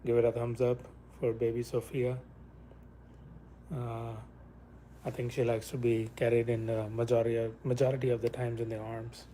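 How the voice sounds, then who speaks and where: A man from India hosts a relaxed livestream, rambling to viewers in an easy, middle-pitched voice. He talks loosely, with many restarts. A faint hiss of background noise sits under the voice. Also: moderate pace, low-quality recording. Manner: rambling, shifts to soft whispers near sleeping baby. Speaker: livestream host. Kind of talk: casual livestream chat.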